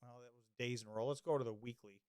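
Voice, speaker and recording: A man's voice: medium pitch, steady tone, quick pace, a little mellow, clear, resonant tone, no room sound, clean studio sound. A man hosting a livestream in casual chat, rambling with many restarts and losing his voice. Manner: rambling, restarts often, reads chat comments. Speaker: livestream host.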